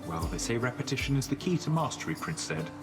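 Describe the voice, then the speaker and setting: An actor playing a genial, deferential shade of a condemned man in a game, courteous and gently chatty. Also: medium pitch, very animated, fast talker, natural voice. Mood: curious, courteous. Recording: music underneath.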